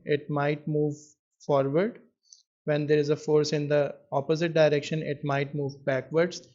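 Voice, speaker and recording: A man tutoring in physics, explaining an exam question in a measured way with pauses as he writes. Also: on the high side, darkish tone. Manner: measured, pausing while writing out answers. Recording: dull lo-fi microphone sound.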